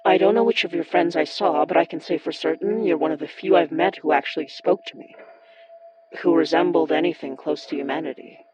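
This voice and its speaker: A woman's voice: low-pitched, a little fast, muffled recording, a loud crowd. A woman playing a blunt, determined young summoner in an audio horror drama, speaking directly and intensely. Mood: probing, guarded.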